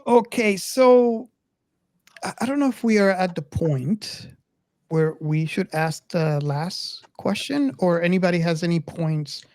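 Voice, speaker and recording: A podcast host talks casually through a film discussion at a middling pitch. The delivery is hesitant, with stammered and repeated words, and the sound is very dry. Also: a little slow, darkish tone, no room sound.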